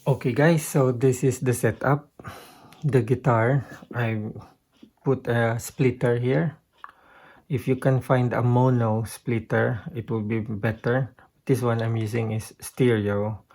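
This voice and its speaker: Slow-talking Filipino man, slightly gravelly. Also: medium pitch, a little mellow. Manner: halting, thinking aloud while pointing out settings. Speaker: guitarist giving a gear tutorial. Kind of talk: casual unscripted demonstration.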